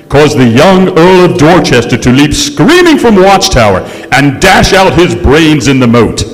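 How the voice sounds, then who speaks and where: An actor playing a vain, indignant centuries-old ghost, narrating in a pompous, melodramatic old-time radio style. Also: male voice, medium pitch. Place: live stage in a courthouse museum. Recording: echoey hall stage recording.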